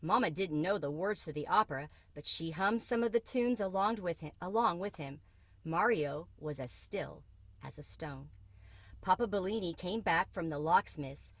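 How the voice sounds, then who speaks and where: A woman's voice: fairly low pitch, animated, moderate pace, no room sound. A woman reading a children's book aloud as a storyteller.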